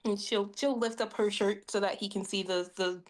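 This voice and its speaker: A woman's voice: medium pitch, fairly even intonation, normal speed, poor audio. Casual, tentative woman roleplaying. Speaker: tabletop game player. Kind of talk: casual in-character roleplay and narration.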